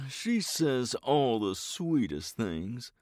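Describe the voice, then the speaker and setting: A man's voice: medium pitch, huge pitch swings, drawn-out words, darkish tone, dry recording, clean studio sound, exaggerated voice. A man playing a flamboyant, self-important and clueless millionaire superhero in a comedic audio drama, with a theatrical, grandiose manner. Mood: breezy self-importance.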